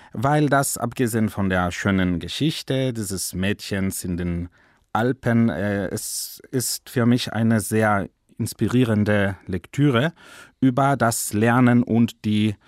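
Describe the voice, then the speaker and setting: This Spanish-accented man in his forties speaks German as a second language, earnest and enthusiastic. His voice sits somewhat low and he takes his time, unhurried and deliberate. The sound is close and dry, with no room echo. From Spain. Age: 40s. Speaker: German teacher. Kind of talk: personal portrait interview.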